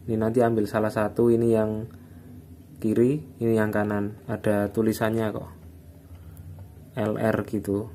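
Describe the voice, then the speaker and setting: A man narrates a casual hands-on tutorial in an informal, unscripted, halting way, recorded indoors at a workbench. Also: fairly low pitch, monotone, dark tone. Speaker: electronics repair hobbyist giving a tutorial. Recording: background noise, phone or camera microphone.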